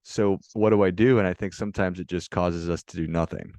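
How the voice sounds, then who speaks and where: A male podcast host with a very low voice asks reflective questions and sums up his guest's ideas. His voice is recorded close and dry, with no room sound. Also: fairly even intonation, brisk, a little mellow, no echo. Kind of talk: podcast interview.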